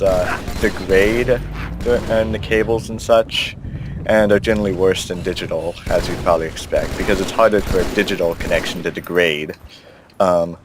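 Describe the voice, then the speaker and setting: A man casually commenting on a video game with friends, rambling and explaining at length with many fillers. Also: sits low. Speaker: let's play commentator. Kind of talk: casual gameplay chat. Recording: noisy capture with game sound behind.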